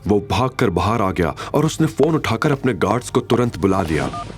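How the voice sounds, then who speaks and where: Male narrator, lowish pitch, animated dramatic storytelling for an audio series, music bed. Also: normal speed.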